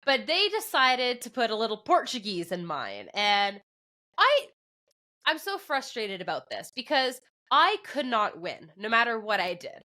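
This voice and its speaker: Podcast co-host, woman, English Canadian accent, bright and forward voice, animated storyteller. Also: a little high, unhurried. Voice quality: drops P sounds at the start of words. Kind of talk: casual chat.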